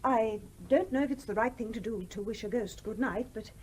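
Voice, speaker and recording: A woman's voice: on the high side, brisk, a little mellow, slight background noise, natural voice. An actress in a radio play playing a genteel, scrupulous mother who is polite but flustered and argumentative. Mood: meek, polite.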